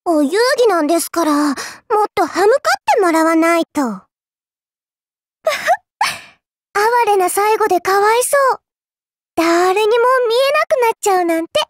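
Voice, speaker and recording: Very high-pitched, sweetly condescending little girl. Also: normal speed, dry recording, studio quality. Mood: haughty, disdainful. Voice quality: childlike, cutesy. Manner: polite, prim speech with teasing condescension. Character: dainty little girl, politely sweet with condescending, cruel streak. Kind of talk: Japanese mobile game character voice lines.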